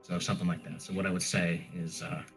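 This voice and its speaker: A man playing a tabletop role-playing game, improvising in a halting, unsure way and thinking aloud. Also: low voice, flat delivery, soft background music. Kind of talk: hesitant improvised roleplay talk.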